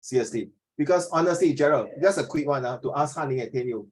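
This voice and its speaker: A man's voice: on the high side, lively intonation, quick pace, breathy edge, low-quality recording. A man in his fifties with a Singaporean accent, teaching an informal class discussion, often repeating himself and checking with questions. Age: 50s.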